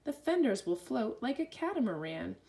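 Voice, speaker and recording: Expressive woman reading a rhyming picture book. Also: on the high side, moderate pace, creaky. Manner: expressive, sing-song rhyming delivery. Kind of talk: rhyming children's read-aloud.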